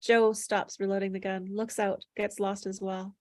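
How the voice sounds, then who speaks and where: A woman's voice: mid-range voice, little pitch movement, normal speed, no echo. Woman reading screenplay directions, dry recording. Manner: plain descriptive reading with stumbles. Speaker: stage directions reader. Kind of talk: table read of screenplay directions.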